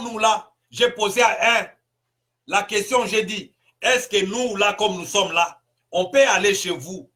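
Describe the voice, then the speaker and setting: Congolese pastor, male, high and bright-toned, fiery rhetorical delivery with shouted peaks. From Congo (DRC). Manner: emphatic, rhetorical questions, bursts of shouting. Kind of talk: impassioned political sermon.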